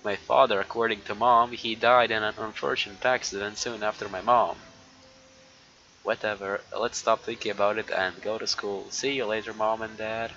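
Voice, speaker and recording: A man's voice: fairly low pitch, level tone, normal speed, bright, forceful tone. A man streaming a game, chatting casually with viewers, swearing now and then and reading story text aloud. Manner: swears casually, talks to chat. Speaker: live streamer. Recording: dull lo-fi mic with background noise.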